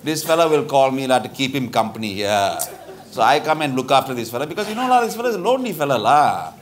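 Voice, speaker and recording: Animated, chatty Malaysian man acting a lawyer. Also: medium pitch, quick pace, lightly acted. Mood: teasing, gossipy. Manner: animated, blunt, colloquial with particles like lah. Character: chatty, tactless middle-aged lawyer humouring a friend's mother. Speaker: stage comedy actor. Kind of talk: live stage comedy sketch. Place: theatre stage with bar set, live audience. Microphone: lapel mic.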